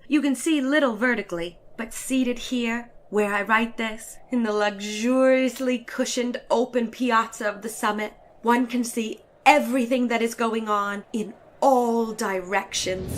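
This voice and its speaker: A woman giving a dramatic, expressive audiobook reading of a satirical story in letters, stretching some phrases for effect. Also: fairly high pitch. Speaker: narrator of a classic short story.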